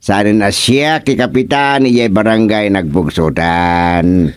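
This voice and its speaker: A man's voice: on the low side, slow pace, smooth, no echo. A man acting a talkative, fussy village official in an Ilocano radio comedy, with an Ilocano accent and a hesitant way of repeating his words. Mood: formal, jovial.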